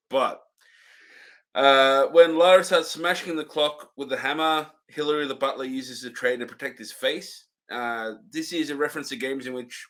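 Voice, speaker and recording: A man's voice: medium pitch, fairly bright tone. Easygoing young man co-hosting a review. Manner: easygoing, laughs often, reads notes. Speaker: co-host. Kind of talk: casual review chat.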